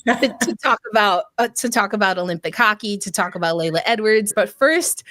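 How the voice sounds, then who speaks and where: A woman's voice: mid-range voice, brisk, no echo. Enthusiastic woman hosting, dry sound. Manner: warm-up questions, enthusiastic affirmations. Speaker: podcast host. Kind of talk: casual interview chat.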